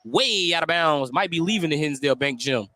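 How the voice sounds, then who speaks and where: Male sports play-by-play announcer, voice a bit on the high side, live from a gym, clipped and detailed. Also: animated, a little fast, sharp tone, slight background noise. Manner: detailed, clipped running call of each play. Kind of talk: live radio sports commentary. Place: indoor high school gymnasium.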